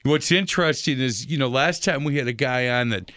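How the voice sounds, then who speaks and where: A man hosts a sports radio talk show in an upbeat, joking way. His voice sits in an ordinary middle pitch. The recording is dry and close. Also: a little fast, fairly bright tone, no room sound. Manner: jokey, upbeat. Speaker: radio host.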